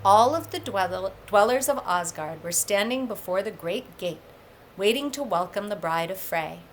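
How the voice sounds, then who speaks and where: A woman reading a myth aloud in expressive, deliberate storybook narration, with noise in the recording. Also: medium pitch, poor audio. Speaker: story reader for a public library. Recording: clear background noise.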